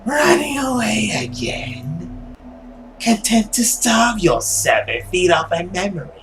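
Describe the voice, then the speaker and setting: A man voicing a taunting, menacing dream monster from a visual novel, in slow, drawn-out, theatrical speech over music. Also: high voice, sing-song, pitched above the performer's own voice, full character voice. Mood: mocking. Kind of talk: visual novel character voice acting.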